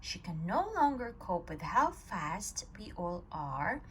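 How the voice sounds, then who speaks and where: A woman reading a children's picture book aloud at storytime, telling it with an expressive, swinging voice. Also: lowish voice, slow, slight background noise. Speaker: storytime reader.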